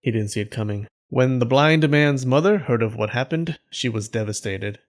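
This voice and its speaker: Man narrating folktales with deadpan humor. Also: medium pitch, moderate pace. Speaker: storyteller, channel host.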